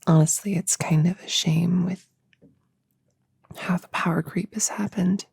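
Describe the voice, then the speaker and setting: A friendly, slightly bumbling novice witch acted by a woman murmurs through an ASMR roleplay. The voice is low, with a creaky, breathy edge that often slips into a whisper. The delivery is unhurried, casual and a little hesitant. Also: fairly even intonation, a little mellow, heavily acted. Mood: musing, casual. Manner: hesitant, casual, self-correcting. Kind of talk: soft-spoken ASMR roleplay.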